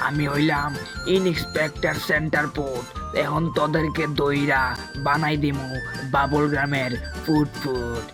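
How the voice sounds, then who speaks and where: A man's voice: a little high, flat delivery, measured pace, music in the background, lightly acted. Blustering police inspector, acted by a man, Bangladeshi accent. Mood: threatening. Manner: bossy, threatening, shouting orders. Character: blustering, exasperated male police inspector, foul-mouthed, trigger-happy. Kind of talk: comic cartoon dubbing in colloquial Bengali.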